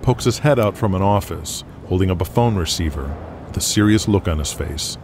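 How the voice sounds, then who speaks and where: A man giving scripted dramatic narration with a measured storytelling manner. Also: low-pitched, expressive, background noise.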